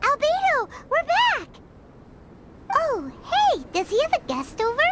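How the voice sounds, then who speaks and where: A woman voicing a small, cheeky childlike fairy companion in a video game, squeaky and chatty. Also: wildly swooping pitch, measured pace, heavy background noise, exaggerated voice. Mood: excited. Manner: chatty, exclamatory. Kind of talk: animated game dub acting. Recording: loud music under dialogue.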